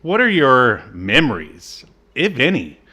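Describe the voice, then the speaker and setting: A man's voice: mid-range voice, huge pitch swings, a little slow, sharp tone, slightly rough. Rambling podcast co-host man. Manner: rambling, many fillers and restarts. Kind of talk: casual chat.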